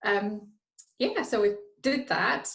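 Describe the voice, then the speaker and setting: Woman guest from Norway, mid-pitched, loose chatty delivery with plenty of repeated words and restarts. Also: takes it slowly, vocal fry, fairly bright tone. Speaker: guest, finance professional. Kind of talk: casual interview conversation.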